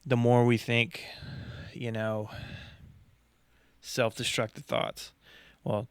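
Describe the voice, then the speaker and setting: A man's voice: medium pitch, flat delivery, takes it slowly, clean tone, no room sound. A man co-hosting a podcast, explaining his ideas earnestly in casual talk with frequent fillers. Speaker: podcast co-host.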